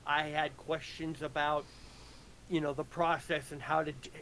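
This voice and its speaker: A man speaking casually as a guest writer, explaining his ideas haltingly and repeating words as he searches. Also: on the high side, level tone, poor audio. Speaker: guest, audio drama writer. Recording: very loud game battle noise behind.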